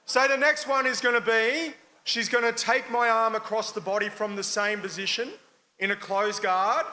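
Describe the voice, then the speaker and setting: An Australian man with a raspy voice explaining a live demonstration to an audience over a microphone at a club event. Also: sits high, fairly even intonation, normal speed, sharp tone. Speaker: jiu-jitsu demonstrator. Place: live function room at a club.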